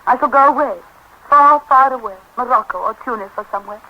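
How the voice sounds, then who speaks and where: An actress playing a flighty, self-dramatizing, worldly English wife with a theatrical, flippant manner, in a 1930s radio comedy style. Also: female voice, a little high, fast, highs cut off, lightly acted. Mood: self-pitying. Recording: hissy 1930s radio broadcast.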